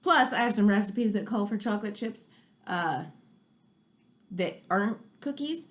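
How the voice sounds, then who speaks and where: A woman's voice: medium pitch, animated, a little slow. A woman reading a nonfiction book aloud for children, chatty and exclamatory, with casual asides.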